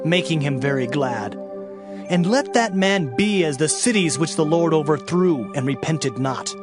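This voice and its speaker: A man playing an anguished, fiery Hebrew prophet, declaiming archaic scripture solemnly in an audio drama. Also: a little high. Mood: bitter, despairing.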